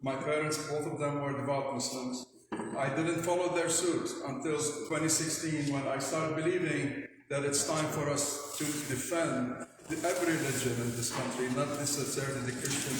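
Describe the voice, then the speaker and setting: A man in his sixties with a Lebanese accent, introducing himself as a panelist to an audience with halting, hesitant phrasing. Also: a little high. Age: 60s. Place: large indoor hall with long reverb. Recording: very echoey hall with faint noise.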